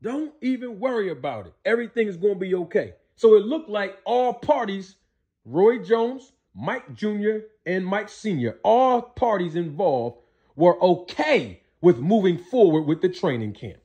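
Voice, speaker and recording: A man's voice: high voice, sing-song, unhurried, warm tone, breathy edge, dull audio. A man giving explanatory storytelling commentary as a host, emphatic, repeating his points and posing rhetorical questions. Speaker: commentator hosting a boxing commentary show.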